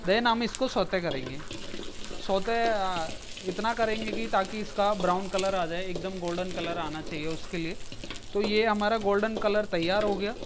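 Chef explaining steps over sizzling pans. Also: male voice, high-pitched, moderate pace, noisy background. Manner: explains step by step, mixing English words into Hindi. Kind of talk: cooking demonstration. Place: commercial kitchen. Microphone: lapel.

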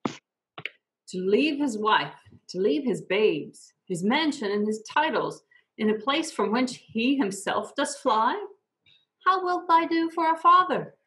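A young woman reading the part of Lady Macduff, a bitter, sharp-tongued noble mother, in a slow, theatrical home play reading over a video call. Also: on the high side, big pitch swings, full character voice. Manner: slow, theatrical line reading with wide swings. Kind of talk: amateur read-aloud of Shakespeare. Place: room at home. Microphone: laptop mic on a video call. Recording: dull lo-fi video call audio.

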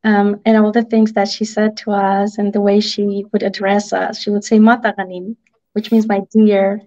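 A woman's voice: medium pitch, flat delivery, moderate pace, a little mellow. A woman in her thirties from Armenia with an Armenian accent, a native Armenian speaker, talking conversationally as an interview guest on a video call. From Armenia (Artsakh). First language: Armenian (Artsakh dialect). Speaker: picture book author, interview guest. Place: indoor room, video call. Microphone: video call microphone. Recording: slightly dull video-call audio.

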